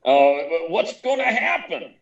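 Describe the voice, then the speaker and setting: A man playing a blustery, excitable newspaper editor with a gravelly voice and sputtering, halting speech, in 1940s radio style. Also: extremely high pitch, recorded from a distance, muffled recording, heavily acted. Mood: curious, gruff. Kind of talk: 1940s radio drama acting. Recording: roomy echo, off the mic.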